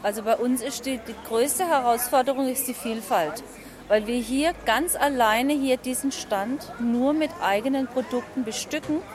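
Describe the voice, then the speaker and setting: A woman farmer and market seller answering interview questions informally at her outdoor stall. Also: a little high. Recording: busy outdoor market chatter.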